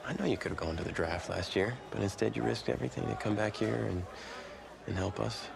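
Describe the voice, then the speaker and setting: Low, creaky young teammate. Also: male voice, fairly quick, a crowd in the background. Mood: sincere, grateful. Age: young adult. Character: young college teammate, sincere, teasing loyal best friend. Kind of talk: naturalistic sports video game drama acting.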